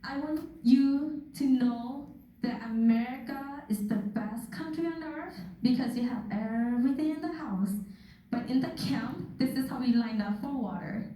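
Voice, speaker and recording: A young woman from Thailand giving a personal testimony with slides, speaking slowly and earnestly with soft laughs, recorded from far off in a church hall. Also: a little high. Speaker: guest speaker. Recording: echoey hall from far off, faint noise.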